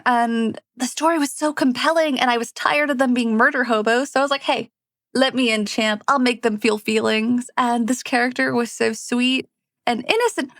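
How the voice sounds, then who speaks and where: A woman's voice: fairly high pitch, vocal fry, fairly bright tone, no echo. A woman storyteller and voice actor who narrates fantasy and chats to her listeners, recorded close up. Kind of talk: scripted fantasy narration and casual chat to listeners.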